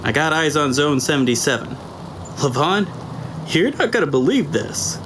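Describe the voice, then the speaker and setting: A man voicing Jake, a calm, observant male sniper reporting over a radio in an audiobook drama. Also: on the high side, normal speed, background noise, voice pushed up. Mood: steady.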